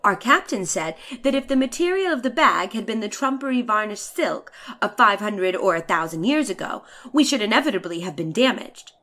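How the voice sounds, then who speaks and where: A woman's voice: medium pitch, very animated, a bit bright. Woman acting a theatrical, haughty, bored lady traveler. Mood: chatty, explanatory. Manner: theatrical, drawn-out, exasperated sighing delivery. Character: bored, haughty, chatty woman letter-writer aboard a futuristic balloon. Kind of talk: dramatic reading of a short story.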